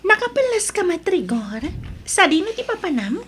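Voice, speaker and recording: A demanding, jealous wife in an Ilocano audio drama. Her high voice swoops up and down as she confronts and accuses, suspicious of every answer. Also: sing-song, normal speed, music in the background. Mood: accusing, jealous. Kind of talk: Ilocano radio-style family drama acting.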